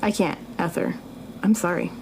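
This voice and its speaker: An actress playing a kindly but firm professor in an audio drama, gentle and measured. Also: female voice, lowish voice, vocal fry, slight background noise, rough recording. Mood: gently firm.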